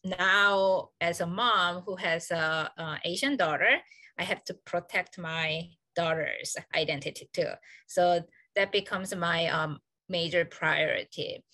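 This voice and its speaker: A woman from South Korea with a Korean accent, speaking on a video call. Her voice is bright and a little low, and the sound is close and dry. She talks earnestly and reflectively, pausing on fillers. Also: no room sound. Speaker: associate professor, panelist. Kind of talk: panel discussion on video call.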